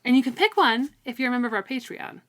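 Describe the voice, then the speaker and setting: A woman's voice: a little high, lively intonation, quick pace, fairly bright tone. A young woman co-hosting a book podcast, chatting casually and enthusiastically. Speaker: podcast co-host. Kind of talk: casual book-club chat with some prepared write-ups read out.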